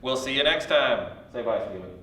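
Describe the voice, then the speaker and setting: A man's voice: medium pitch, expressive, fairly quick, fairly bright tone. A man hosting a light, playful interview, asking questions in an informal, quirky, jokey way. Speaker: interview host. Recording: very echoey room, distant mic.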